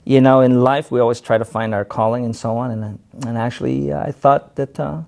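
Gravelly male interview guest from the Philippines; halting, filler-heavy, relaxed storytelling. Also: medium pitch. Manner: halting, many fillers and restarts. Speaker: guest, self-defense instructor. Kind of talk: casual interview conversation.

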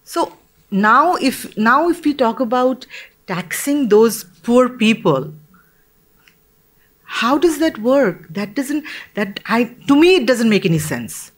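A woman from Bangladesh speaking as a panelist in a discussion, earnest and measured, with pauses and restarts. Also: fairly high pitch, very animated.

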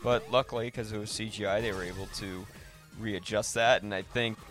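A man's voice: fairly low pitch, steady tone, a little fast, fairly bright tone, soft background music. A man talking enthusiastically and casually about films as a podcast host. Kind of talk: casual film discussion.

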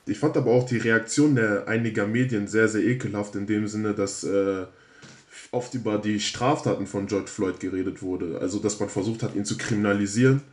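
A man's voice: fairly low pitch, steady tone, brisk. A young man giving his opinion earnestly as a participant in a talk. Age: young adult.

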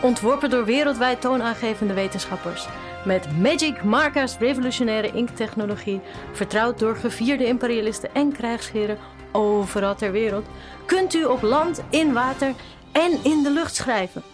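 A woman's voice: on the high side, moderate pace, close to an everyday voice. Woman as a grand, persuasive advert announcer over music. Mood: salesy, grand. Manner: persuasive, proclaiming. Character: grandiose advertiser selling a magic marker, woman. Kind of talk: mock commercial voice-over in radio play.

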